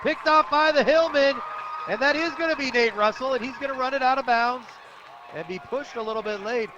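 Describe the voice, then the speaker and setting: An American man calling live football play-by-play on the radio in an animated, colloquial, opinionated way. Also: very high-pitched, fairly quick, crowd noise. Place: stadium press booth, radio broadcast. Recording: muffled broadcast audio, outdoor stadium noise.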